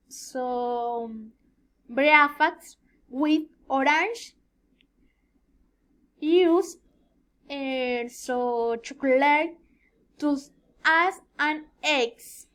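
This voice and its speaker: Female student, 20s, native Spanish speaker with Colombian accent. High voice, extremely slow delivery, hesitant word-searching in a self-introduction. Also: a bit bright. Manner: halting, searching for words. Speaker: student giving an oral presentation. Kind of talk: rehearsed self-introduction for a language class.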